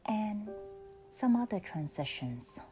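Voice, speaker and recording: Lady doing a guided meditation, really slow and soothing, soft breathy bits, faint music behind. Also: mid-range voice, very animated. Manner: soothing, unhurried, with long pauses.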